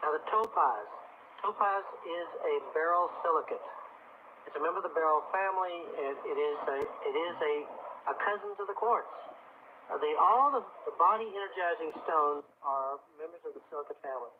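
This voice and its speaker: A man explaining things as an expert guest on an old live radio call-in show, in a halting, deliberate manner. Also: a little high, phone-quality sound. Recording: noisy, phone-thin old radio broadcast tape. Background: clear background noise.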